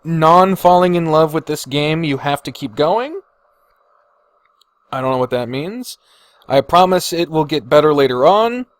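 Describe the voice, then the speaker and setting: A man's voice: fairly high pitch, animated, unhurried, dry recording. Casual man podcasting in a dry, close space. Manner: informal, freely swearing. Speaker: podcast host. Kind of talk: casual chat, reading and reacting to viewer comments.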